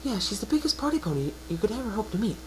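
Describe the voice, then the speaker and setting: A shy young pegasus mare played by a woman speaks in a gentle, hesitant way at a middling pitch. She is polite and caring, in a fan-made cartoon story reading, with clear hum and noise behind her. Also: very animated, very fast, natural voice. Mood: gentle, friendly. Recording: noisy home recording with mains hum.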